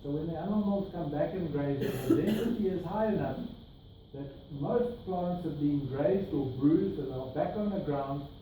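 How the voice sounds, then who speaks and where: A man's voice: fairly high pitch, normal speed, warm tone. A middle-aged man with a South African accent, presenting slides to a workshop group in a meeting room and pointing out details as he explains. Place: meeting room with projector screen. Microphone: far camera, no mic. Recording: distant echoey room with noise.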